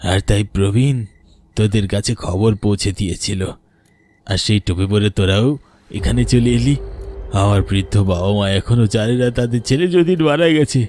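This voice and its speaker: A man's voice: lowish voice, normal speed, a little mellow, a little hiss or hum, close to an everyday voice. A man voicing the vengeful ghost of a murdered village teacher, menacing and bitter in a cartoon. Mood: bitter accusing. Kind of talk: cartoon voice acting.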